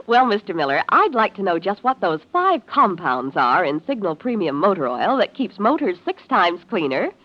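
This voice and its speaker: A woman's voice: mid-range voice, big pitch swings, moderate pace, few pauses. A woman reading a scripted 1940s radio commercial with chirpy curiosity. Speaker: commercial questioner. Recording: dull vintage radio recording.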